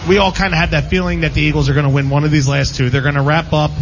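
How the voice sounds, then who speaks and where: A man's voice: fairly high pitch, fairly even intonation, very fast. A man hosting a casual sports panel show, talking in a rambling, opinionated way. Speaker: sports radio show host. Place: live broadcast from a casino. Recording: muffled, clearly noisy live venue recording.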